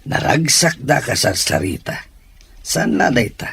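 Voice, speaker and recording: A gentle fatherly husband. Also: medium pitch, expressive, a little slow, gravelly, slight background noise. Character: kindly middle-aged father of grown daughter. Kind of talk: radio drama acting.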